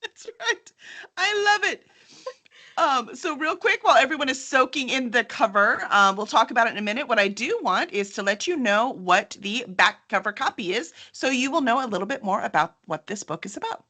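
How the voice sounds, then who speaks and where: A woman's voice: a little high, lively intonation, moderate pace, sharp tone. A woman hosting an interview in a chatty, enthusiastic style, sometimes reading aloud. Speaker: host and book publisher's representative. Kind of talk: upbeat interview chat with some reading aloud.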